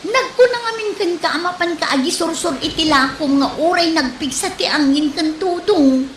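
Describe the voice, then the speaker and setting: A woman's voice: very high-pitched, moderate pace, fairly bright tone. A woman playing an exasperated, chiding neighbor friend with an Ilocano accent in a comedy drama. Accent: Ilocano Filipino. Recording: roomy echo, clear background noise.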